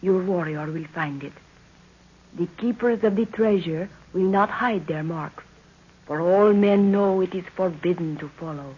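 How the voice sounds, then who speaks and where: An actress playing a solemn, mystical African sorceress in a 1930s radio drama, speaking in formal incantations. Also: female voice, lowish voice, measured pace, husky. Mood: solemn, mysterious. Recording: muffled old 1930s radio recording.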